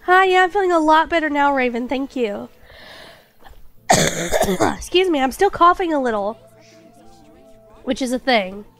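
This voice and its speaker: High-pitched young woman streamer, congested and coughing, chirpy and full of fillers. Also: expressive, measured pace, long pauses, fairly bright tone, faint music behind. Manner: bubbly, apologetic, lots of fillers. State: stuffy nose and lingering cough. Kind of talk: casual chat with stream chat.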